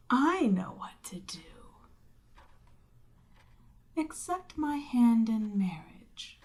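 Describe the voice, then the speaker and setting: A woman voicing a seductive, domineering Demon Queen in an ASMR roleplay, breathy and often near a whisper, with a smug, coaxing, commanding manner. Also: on the high side, mellow. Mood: amused, seductive.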